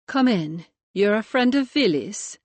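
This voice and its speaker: A woman voicing a brisk, firm female police detective who speaks crisply and with authority. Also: mid-range voice, lively intonation, darkish tone, no echo.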